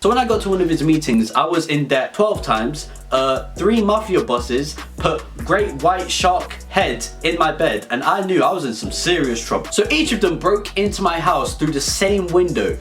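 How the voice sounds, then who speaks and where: A man's voice: a little high, expressive, moderate pace, hardly any pauses, a bit bright. A British man delivering an absurd comedic storytelling monologue in a rambling, mock-serious way. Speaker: comedy video creator. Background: background music.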